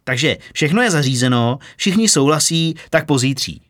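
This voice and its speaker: A man doing a gushing, excitable clay shaper. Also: medium pitch, dry recording, studio quality. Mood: excited. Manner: rushed torrent of words. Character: eager, excitable male magic clay shaper.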